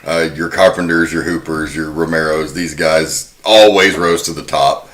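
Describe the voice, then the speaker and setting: Bright-voiced man hosting a film podcast. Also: extremely low pitch, steady tone, moderate pace. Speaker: podcast host. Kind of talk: prepared film history commentary.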